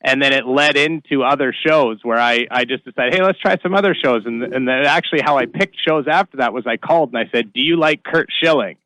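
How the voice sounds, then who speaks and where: A man's voice: medium pitch, brisk, hardly any pauses, sharp tone, dry recording, poor audio. A man from Canada, a comedian, telling stories casually as an interview guest. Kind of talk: casual storytelling chat.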